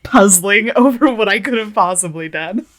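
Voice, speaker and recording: A woman's voice: mid-range voice, animated, moderate pace. A woman playing in an improvised tabletop game, chatting casually in a giggly, playful manner. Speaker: player on a roleplay podcast. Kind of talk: casual improvised roleplay chat.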